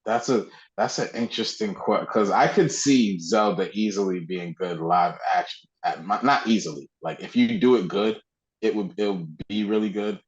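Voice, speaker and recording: A man's voice: lowish voice, normal speed, echoey room. A man co-hosting a podcast in casual chat, recorded with a slightly dull, lo-fi sound. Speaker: podcast co-host. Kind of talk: casual podcast chat about pop culture.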